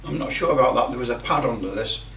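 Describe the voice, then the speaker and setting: A man giving a casual hands-on commentary as a hobbyist, halting and muttering a little to himself as he works. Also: medium pitch, a bit bright. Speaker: hobbyist presenter. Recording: roomy, distant mic with light noise.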